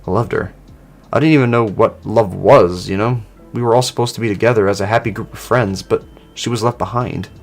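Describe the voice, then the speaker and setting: A man's voice: on the low side, fairly quick, a little mellow, faint music behind. A man voicing a wistful, hesitant former assassin woman with lost memories, in online horror fiction. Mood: wistful, grieving. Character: wistful, amnesiac former female assassin, longing for lost friend.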